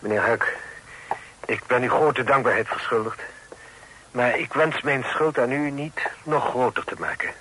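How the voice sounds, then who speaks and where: A man's voice: medium pitch, unhurried, bright, forceful tone. An actor playing a courteous, worried middle-aged father who speaks solemnly and formally in an old radio drama. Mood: grave, worried. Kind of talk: vintage Dutch radio drama acting. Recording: noisy old radio play recording.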